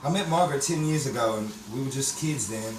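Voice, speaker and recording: An actor as an echoey, earnest romantic hero. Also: male voice, medium pitch, a little fast, a little hiss or hum, lightly acted. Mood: wistful. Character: wistful romantic hero recalling his lost love. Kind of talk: nostalgic radio drama narration and acting. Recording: roomy, distant live stage recording, crowd.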